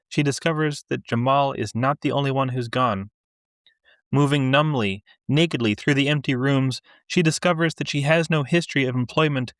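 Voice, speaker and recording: A man reading literary fiction aloud and discussing it as a podcast co-host, in a measured, deliberate way. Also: mid-range voice, fairly bright tone, dry recording, clean studio sound.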